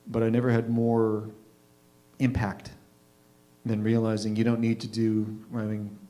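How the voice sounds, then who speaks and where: A man in his fifties from Canada hosting a live show, telling a personal story informally in a wry, reflective way with deliberate emphasis. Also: lowish voice, completely flat delivery, mellow, poor audio. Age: 50s. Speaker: host of a live readers' theatre show.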